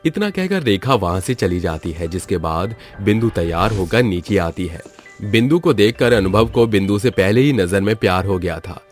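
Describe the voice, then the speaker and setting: Male storyteller, deep voice, scripted moral-tale narration, light background music. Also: animated, normal speed.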